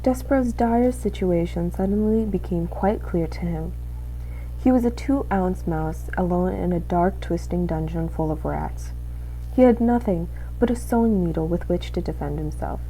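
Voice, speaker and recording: A young woman reading a children's storybook aloud as an expressive narrator. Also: on the low side, moderate pace, a little hiss or hum, low-quality recording. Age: young adult. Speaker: student reader.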